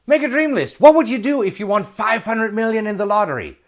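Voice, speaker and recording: A man in his forties giving an emphatic motivational talk, asking rhetorical questions and building excitement. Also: sits high, brisk. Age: 40s. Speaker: self-help video presenter.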